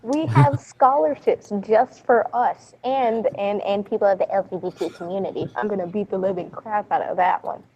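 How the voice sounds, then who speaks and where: A woman's voice: mid-range voice, normal speed, few pauses, a little mellow. A young woman, a college student, chatting as a guest on an online call, playful and teasing with frequent giggles. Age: young adult. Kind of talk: casual online chat. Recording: dull lo-fi voice chat with faint hiss.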